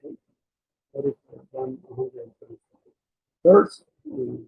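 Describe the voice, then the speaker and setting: A man giving an explanatory academic slide presentation, speaking haltingly and often repeating and rephrasing himself. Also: narrow, tinny audio. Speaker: presenter of a technical talk.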